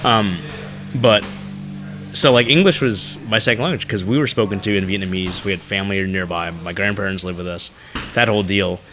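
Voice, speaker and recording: A man's voice: sits low, brisk, sharp tone, faint music behind. A man from the United States co-hosting a podcast, chatting casually and asking curious questions with many fillers. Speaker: podcast co-host. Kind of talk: casual chat.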